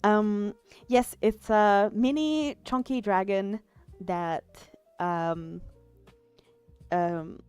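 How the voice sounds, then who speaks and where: A woman with an Italian accent talks through a live drawing stream, her voice smooth and clear at a middle pitch. She chats easily, reads messages aloud, and often trails off with little fillers. Soft music plays faintly underneath. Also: very slow. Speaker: artist streamer. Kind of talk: casual live-stream chat while drawing.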